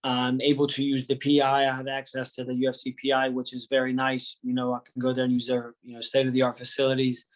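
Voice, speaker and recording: Man, wrestling coach, casual, joking, medium pitch. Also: monotone, fast talker, hardly any pauses. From United States. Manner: easygoing, joking, uses slang. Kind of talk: casual interview.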